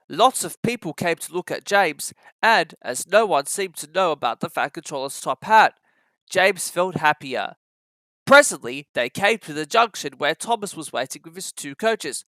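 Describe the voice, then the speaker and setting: Children's story narrator, lively intonation, bright tone, dry close recording, scripted read-aloud. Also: medium pitch, dry recording.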